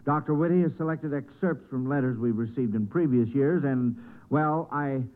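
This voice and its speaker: A man's voice: medium pitch, normal speed. A man with an American accent hosting an old-time radio quiz in a folksy, encouraging, chatty manner. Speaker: quiz show host. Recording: muffled narrow-band vintage radio recording.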